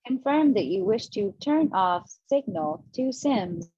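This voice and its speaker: A woman voicing a computer security system in slow, clipped announcements, as a reader in an online table read. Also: medium pitch, animated, warm tone, slightly rough, dry recording, dull audio. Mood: flat.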